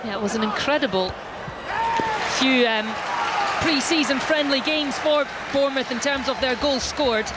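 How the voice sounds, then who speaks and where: Woman calling football live, high voice. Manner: brisk, descriptive play-by-play calling. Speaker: play-by-play football commentator. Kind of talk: live radio match commentary. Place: football stadium commentary box. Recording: stadium crowd and loud background noise.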